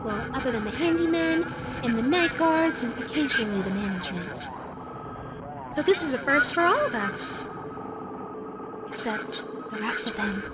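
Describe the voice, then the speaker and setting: A woman's voice: fairly high pitch, expressive, normal speed, pauses often, lightly acted. A woman voicing a flirty, menacing female animatronic fox in an audio roleplay, playful, coy and teasing. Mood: chatty, coy. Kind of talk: audio roleplay addressed to the listener. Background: music.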